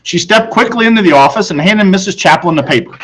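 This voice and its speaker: A man with a slightly high voice reads a story aloud to children. He narrates like a teacher with a class. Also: animated, fast talker, fairly bright tone, husky, low-quality recording. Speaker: audiobook reader, teacher.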